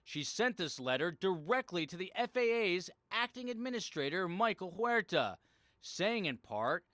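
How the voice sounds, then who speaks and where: A male television reporter delivers scripted investigative news narration. His voice sits notably high for a man and moves through a wide, animated range of pitch. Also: normal speed, dry recording, clean studio sound.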